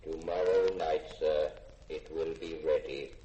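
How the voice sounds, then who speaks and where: An actor playing a polite, literal-minded robot servant in a formal, deadpan manner in a 1950s radio drama. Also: male voice, extremely low pitch, a little slow, soft background music. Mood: formal, unflappable. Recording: echoey, noisy old radio broadcast.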